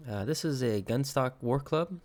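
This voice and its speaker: A man in his thirties from Hawaii, still a little sick, showing off handmade pieces in a casual vlog, with plenty of ums and uhs and quick self-corrections. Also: medium pitch. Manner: relaxed, many ums and uhs, corrects himself. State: slightly sick, recovering from illness. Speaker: woodworker and weapon maker. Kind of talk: casual show-and-tell vlog.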